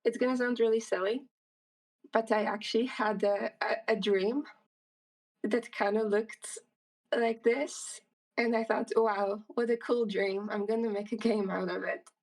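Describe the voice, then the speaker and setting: Slow-talking woman, slightly distant mic. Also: on the high side, fairly even intonation. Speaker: interview guest, indie game creator. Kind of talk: casual interview conversation.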